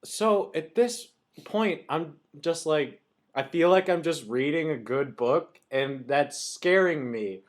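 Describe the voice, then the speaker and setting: A woman with a deep-set, low voice co-hosts a podcast. She chats informally and playfully, reacting freely in casual banter. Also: animated, slow. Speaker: podcast co-host.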